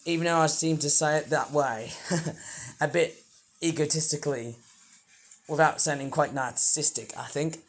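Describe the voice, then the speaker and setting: A man with a high voice rambles through a toy review, chatty and playful. His voice sounds a little under the weather, and he breaks into sudden excited outbursts. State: voice not fully well. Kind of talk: casual toy review vlog.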